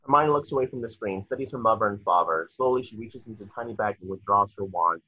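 Low, raspy man narrating a screenplay. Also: fast talker, pushed and bright, no room sound. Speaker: stage directions reader. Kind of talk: scripted narration of screenplay directions. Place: indoor room at home, on a video call. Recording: dull, dry video-call audio.

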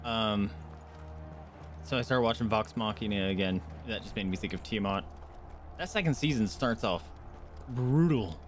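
A man's voice: on the low side, moderate pace, pauses often, soft background music. A man livestreaming a game, chatting informally and wandering into asides for his viewers. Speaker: game streamer. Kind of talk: casual chat while livestreaming a game.

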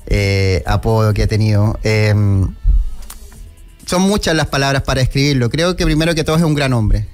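A middle-aged Chilean man hosting a radio show, giving a solemn, respectful tribute in a measured way, close on a studio microphone. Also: medium pitch, a little mellow. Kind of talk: solemn tribute monologue, partly read aloud. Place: small indoor radio studio. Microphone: close broadcast microphone with headphones. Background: background music.

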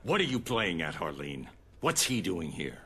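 An actor playing a brooding, commanding masked vigilante in a video game, with a terse, stern delivery. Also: male voice, deep voice, very animated, normal speed, pushed and bright, slightly rough, full character voice. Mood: suspicious. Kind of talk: video game cutscene acting.

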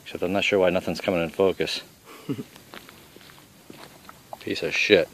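Middle-aged man, low voice, blunt and salty running commentary on a woodland walk, handheld camera audio. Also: steady tone, normal speed, a bit bright, a little hiss or hum. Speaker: father showing mushrooms on a hike. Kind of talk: casual outdoor commentary. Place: forest trail outdoors.

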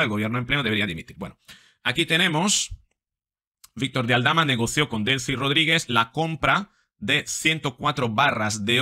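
A man in his forties talks close to the camera in a small room, reading out letters and headlines and breaking in with sarcastic remarks. His voice is very bright and sharp, with an emphatic, pointed delivery. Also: medium pitch. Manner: emphatic, sarcastic asides between read quotes. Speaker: commentator. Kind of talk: political commentary reading documents.

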